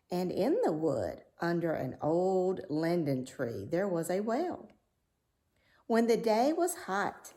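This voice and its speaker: A woman reading a fairy tale aloud as a storyteller, with measured, dramatic delivery. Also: mid-range voice, dark tone.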